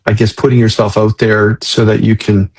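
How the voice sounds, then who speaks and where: Husky, low-voiced man hosting an interview. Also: little pitch movement, rough recording. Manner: halting, thoughtful, repeats words as he goes. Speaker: interview host, head of a photographer network. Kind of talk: conversational interviewing.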